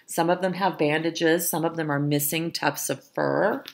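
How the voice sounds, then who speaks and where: A middle-aged woman reading a picture book aloud to children, expressive and pausing to explain. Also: low voice, creaky, background noise. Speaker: storytime reader, teacher.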